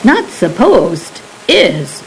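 A woman's voice: lowish voice, wildly swooping pitch, extremely slow, gravelly, a little hiss or hum, full character voice, poor audio. A woman voicing an old, superstitious Cajun swamp recluse man with a Cajun accent, speaking in broken dialect. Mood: storytelling. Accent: Cajun English, put on. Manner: broken dialect, pleading warnings.

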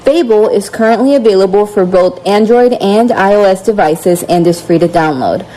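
A woman reading a scripted app review segment in a smooth, even delivery. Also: mid-range voice, normal speed, rough recording.

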